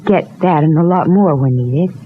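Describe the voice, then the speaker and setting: A woman's voice: low-pitched, normal speed, natural voice. An actress playing an earnest, deferential young woman in an old-time radio soap opera, with an apologetic, hesitant and slightly anxious manner. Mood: polite, careful. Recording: dull, noisy vintage radio recording.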